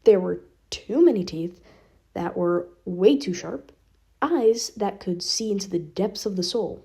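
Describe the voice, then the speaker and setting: Female story reader, medium register, dark mellow tone, scripted narration. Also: medium pitch, animated, measured pace, creaky, husky. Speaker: podfic reader.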